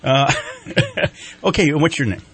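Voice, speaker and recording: A man's voice: fairly high pitch, expressive, moderate pace, fairly bright tone. A man hosting a morning radio show in a crowded studio, chatting casually and laughing often as he coaxes guests to the mic. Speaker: radio host.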